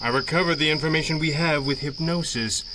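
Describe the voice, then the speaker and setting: Man playing calm, commanding masked hero. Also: a little high, background noise, close to an everyday voice. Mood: wry, assured. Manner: measured, dryly witty, authoritative. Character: masked male superhero leader, calm, wry, commanding mastermind. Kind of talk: 1930s-style radio adventure acting.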